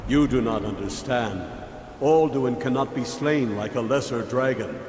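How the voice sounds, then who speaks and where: A man playing a grave, resolute old Nord sage in a video game, with measured, declamatory, archaic phrasing. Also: medium pitch, lightly acted. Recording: echoey reverberant hall, loud background noise.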